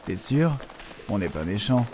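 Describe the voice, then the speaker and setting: A man's voice: fairly low pitch, sing-song, unhurried, darkish tone. A man playing a pushy, falsely friendly young student thief in an amateur fantasy audio drama, informal and insinuating.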